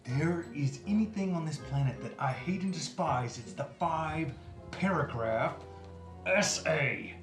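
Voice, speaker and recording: Echoey room, unhurried delivery, arch weary professor, acted out, sardonic, theatrical diction, music behind. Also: medium pitch, lively intonation. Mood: exasperated, sardonic. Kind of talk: scripted web series acting.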